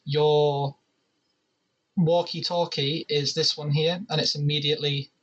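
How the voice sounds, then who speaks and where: A man with a fairly high, bright voice presents a gaming tutorial. He talks casually and explains each step in turn, with a crisp edge to his tone. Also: level tone, a little slow, highs cut off.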